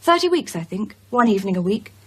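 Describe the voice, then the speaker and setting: An actress playing a composed widow who recounts a troubling past in a measured, articulate way. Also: female voice, mid-range voice, big pitch swings, fairly bright tone, slightly rough. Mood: measured, serious. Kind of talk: naturalistic radio drama acting.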